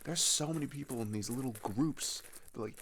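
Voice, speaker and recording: A young man playing an earnest, frustrated teenage traveller in an ASMR audio roleplay, talking in a casual, halting, rambling way. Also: mid-range voice, fairly bright tone, a little hiss or hum, lightly acted.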